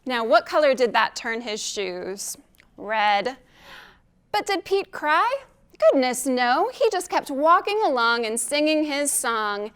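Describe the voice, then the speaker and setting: A woman with a high voice reads aloud for young children in a storytime style. Her pace is slow and deliberate, with expressive phrasing and questions aimed at the little ones. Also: clear, resonant tone. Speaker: children's librarian hosting storytime.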